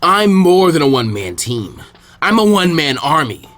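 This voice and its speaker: A man voicing an arrogant, cold adult male rogue ninja in a storybook reading, with a smug, deadpan sneer. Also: fairly high pitch, moderate pace. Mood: boastful.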